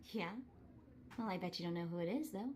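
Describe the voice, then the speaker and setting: An actress voicing an eager, determined young streetwise sidekick in a cinematic video game, earnest and spirited. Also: female voice, fairly low pitch, fairly quick, darkish tone, slight background noise, lightly acted. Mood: cocky, urgent.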